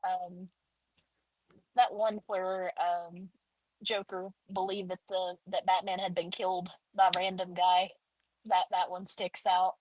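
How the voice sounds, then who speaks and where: Husky-voiced woman guest, hesitant. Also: fairly low pitch, little pitch movement, takes it slowly, highs cut off. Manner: hesitant, many fillers. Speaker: guest author. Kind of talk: casual interview chat.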